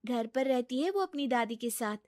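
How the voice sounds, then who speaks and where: A gentle young daughter-in-law, put on by a woman narrator for a cartoon story. She speaks politely and earnestly in a high voice. The recording is dry. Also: animated, moderate pace, no echo, close to an everyday voice.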